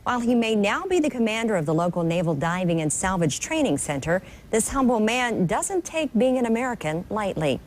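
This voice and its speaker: A woman news reporter delivering scripted narration in a smooth, measured way. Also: medium pitch, sing-song, slight background noise.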